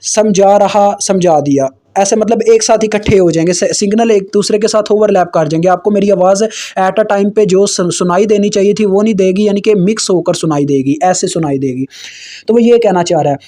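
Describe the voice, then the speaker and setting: A young man with a Pakistani Urdu accent talks through engineering slides like a relaxed tutor. His voice sits high but sounds mellow and warm. He often stops with fillers and restarts, and he calls his listeners kids. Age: 20s. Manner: informal, addresses viewers as kids, frequent fillers and restarts; slowly reads English textbook lines aloud. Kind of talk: casual explanatory lecture over slides.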